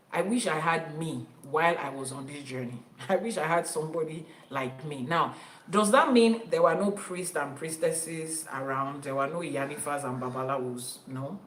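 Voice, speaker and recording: A Nigerian-accented woman, a native Yoruba speaker, teaches her online followers with firm emphasis and frequent repetition. Her voice sits somewhat low for a woman. The room around her adds a noticeable echo. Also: moderate pace, fairly bright tone, slight background noise. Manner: emphatic, repeats key phrases for stress. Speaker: Ifa priestess and teacher. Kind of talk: teaching talk to an online audience.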